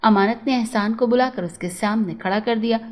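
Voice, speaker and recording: A woman's voice: on the high side, fairly quick, darkish tone. A woman storyteller narrating a scripted moral tale.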